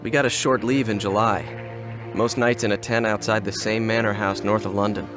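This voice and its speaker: A man voicing a war-worn American paratrooper, recalling the fighting in a plainspoken, wry voice, narrating a game cutscene. His voice sits low, with loud music under it. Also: fairly even intonation, moderate pace, close to an everyday voice. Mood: reflective. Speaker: soldier narrator.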